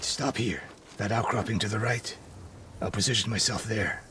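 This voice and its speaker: An actor playing a stern, bitter, distrustful older samurai archery master, curt and commanding, in a dramatic video game scene. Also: male voice, lowish voice, normal speed, natural voice. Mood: grim, suspicious. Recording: clear noise of outdoor game ambience.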